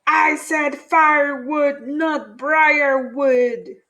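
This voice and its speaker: A woman voicing a scruffy, nasty-tempered male varmint, gruff and bossy, in a children's storybook. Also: high-pitched, fairly even intonation, very slow, a bit bright, pitched above the performer's own voice, full character voice. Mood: snarling, irritated.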